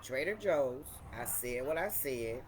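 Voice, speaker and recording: A woman with a deep voice chatting casually and playfully in a wine tasting vlog, repeating phrases for emphasis. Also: expressive, measured pace, slight background noise, poor audio. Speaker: vlogger reviewing wine.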